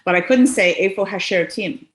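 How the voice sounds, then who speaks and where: A Canadian woman in her sixties, a guest on a video call from her living room, talks in a lively way with frequent laughs and quick asides. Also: mid-range voice, reverberant. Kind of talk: casual conversation. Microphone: webcam on a video call.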